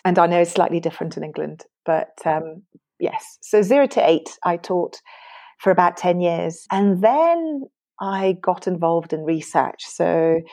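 A tired woman in her forties from Greece, talking through her work as an education researcher in a relaxed, thoughtful way. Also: on the low side. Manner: thoughtful, many fillers and restarts. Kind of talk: conversational interview.

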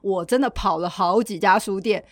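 A woman's voice: mid-range voice, normal speed, dry recording, studio quality. A woman with a Taiwanese Mandarin accent chatting casually and expressively as a podcast host.

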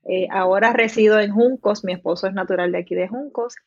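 A woman's voice: mid-range voice, fairly quick, dry recording, muffled recording. A woman from southern Puerto Rico with a Puerto Rican accent, a writer talking as a podcast guest in a friendly, talkative way. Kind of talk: interview conversation.

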